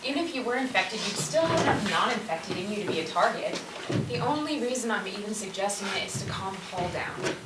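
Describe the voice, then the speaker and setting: Woman acting lively, exasperated peacemaker, distant and noisy. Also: mid-range voice, brisk, lightly acted. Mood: reassuring. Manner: reasoning, bossy, exasperated. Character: exasperated, practical female peacemaker in zombie outbreak. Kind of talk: amateur comic stage-play acting. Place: indoor stage performance, recorded from afar. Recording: distant, roomy stage recording, loud noise.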